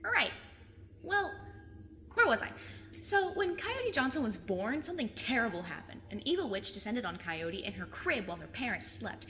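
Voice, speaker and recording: A woman in an audio drama playing a plucky, stubborn fifteen-year-old girl who speaks emphatically and earnestly, quick to get defensive. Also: on the high side, big pitch swings, sharp tone. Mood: dramatic storytelling. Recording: long cave reverb, faint noise.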